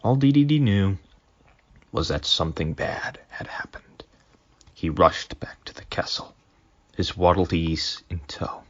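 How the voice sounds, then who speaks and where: Deep, husky man reading deadpan. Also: unhurried, in a whisper, dull audio. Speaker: fanfic reader. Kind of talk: deadpan storybook narration.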